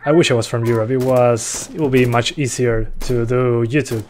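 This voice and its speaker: A man's voice: medium pitch, steady tone, moderate pace, darkish tone, a little hiss or hum. A young man from Uruguay, chatting casually while streaming a game and reading chat aloud. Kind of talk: live gameplay commentary. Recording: faint gunfire behind voice.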